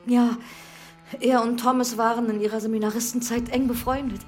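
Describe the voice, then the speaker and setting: A woman playing a sorrowful, discreet older widowed housekeeper in a radio drama, speaking hesitantly and deliberately. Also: a little high, level tone, soft background music, natural voice. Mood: earnest, firm.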